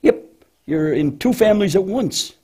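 A man voicing a patient, motherly female cow with a deep voice in a children's church puppet show, gently and hesitantly explaining things. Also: lively intonation, normal speed, slightly rough.

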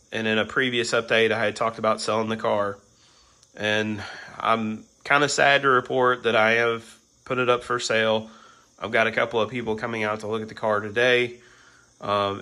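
A hoarse man hosting a garage vlog, chatting casually with lots of fillers while filming on a phone in his home garage. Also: on the low side. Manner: relaxed, filler-heavy rambling with frequent "um" and "you know". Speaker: garage channel host. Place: large home garage with car bays.

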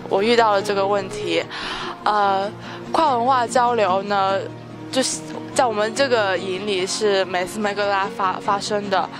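A young woman from China, a volunteer, answering interview questions in a measured way with occasional fillers. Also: mid-range voice, steady tone, over music.

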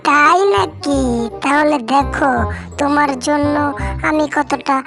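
A woman voicing a sharp-tongued, sassy young wife in a comic cartoon, with a scolding delivery. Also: high-pitched, flat delivery, slow, gravelly, music in the background, heavily acted. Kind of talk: comic cartoon joke dialogue.

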